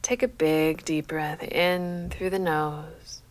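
A woman leading a guided sleep meditation as a yoga nidra guide, speaking in a measured, soothing, unhurried manner. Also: deep voice. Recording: faint steady background noise.

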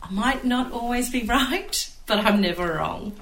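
A woman's voice: on the high side, expressive, measured pace, fairly bright tone. An Australian woman chatting casually as a podcast co-host, recorded with echo from a distance. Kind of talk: casual chat. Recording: echoey, distant mic with some noise.

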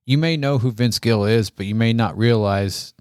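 A man's voice: lowish voice, normal speed, darkish tone, clean tone, no echo, studio quality. An American man co-hosting a podcast, talking casually about music like a slangy, enthusiastic fan. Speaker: podcast co-host.

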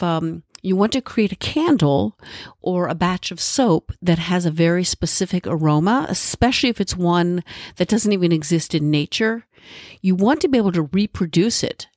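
A woman's voice: on the low side, a little mellow, no room sound, studio quality. A woman podcast host with a congested, nasal voice from a cold, giving a friendly solo explanation with asides. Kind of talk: solo educational podcast talk.

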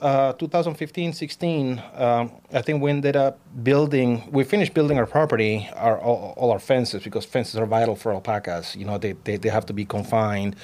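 A man's voice: mid-range voice, moderate pace, hardly any pauses, darkish tone. Chatty Peruvian-man. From Peru. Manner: chatty, repeats words, laughs often. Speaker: guest, alpaca farmer. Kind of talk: casual interview chat.

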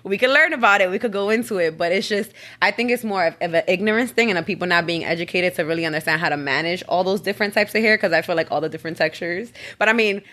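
A woman's voice: on the low side, a bit bright. A woman co-hosting a casual podcast conversation, earnest and explanatory as she makes her points. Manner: earnest, explanatory, talks in quick runs. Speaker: podcast co-host.

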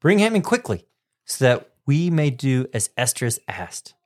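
A man's voice: medium pitch, big pitch swings, normal speed, lightly acted. A man playing a generous king in a school radio skit, reading formal lines. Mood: regal. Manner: formal, declaiming.

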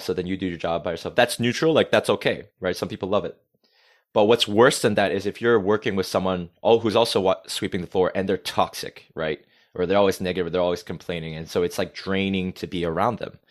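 An energetic man, a podcast guest talking casually and swearing freely. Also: deep voice. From United States. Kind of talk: casual conversation.

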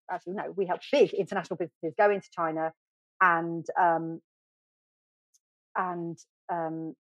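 Chatty, animated British woman guest. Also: on the low side, no echo. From United Kingdom. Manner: animated, chatty, self-deprecating, many fillers and restarts. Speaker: podcast guest, florist. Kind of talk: casual storytelling interview. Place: podcast studio. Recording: dry, slightly dull studio sound.

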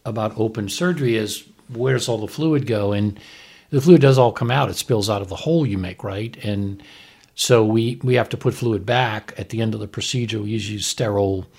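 Low, gravelly man explaining medicine. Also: brisk, a little mellow. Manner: conversational, explanatory, checks with "right?". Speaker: host, fetal surgeon reviewing a TV episode. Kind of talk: casual podcast discussion with medical explanation.

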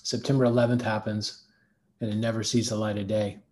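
A man's voice: on the low side, little pitch movement, fairly quick, darkish tone. A man, co-founder of a design agency, telling a long anecdotal story in an interview, rambling with fillers and restarts. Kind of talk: conversational interview answers.

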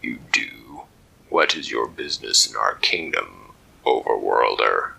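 A man's voice: very deep voice, animated, unhurried, vocal fry, bright, forceful tone, husky, pitched below the performer's own voice, heavily acted, poor audio. A man voicing a stern male lobster creature who guards an undersea kingdom, speaking in a curt, formal and challenging way. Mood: cold, haughty.

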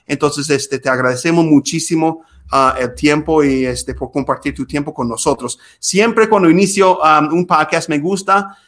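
A man's voice: fairly high pitch, normal speed, few pauses, a bit bright. A young man who grew up in the United States, hosting a podcast in a casual, friendly way, with many fillers. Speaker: podcast host. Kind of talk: casual interview.

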